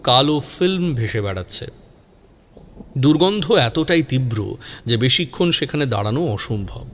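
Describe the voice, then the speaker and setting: A man narrating a scripted horror story in a suspenseful, measured way, drawing out key words. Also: mid-range voice, big pitch swings, fairly bright tone, a little hiss or hum.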